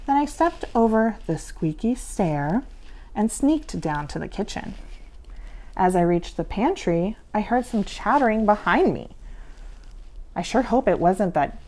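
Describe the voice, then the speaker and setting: A woman's voice: mid-range voice, expressive, measured pace, mellow. A woman reading a children's storybook aloud as a storyteller, theatrical and suspenseful. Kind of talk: dramatic children's storybook narration.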